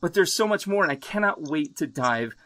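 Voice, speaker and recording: This is an exuberant man from the United States hosting a podcast, his voice bright and sharp and sitting a little high. He chats casually and swears freely. The sound is dry, as over a remote call. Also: lively intonation, fairly quick, no room sound. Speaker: podcast host. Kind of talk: casual interview chat. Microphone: remote video call.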